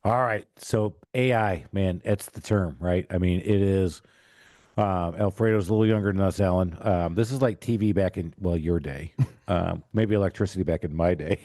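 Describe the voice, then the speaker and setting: Low-voiced man hosting a casual podcast. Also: normal speed, warm tone, no room sound, clean studio sound. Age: middle-aged. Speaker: podcast host. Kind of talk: casual chat interview.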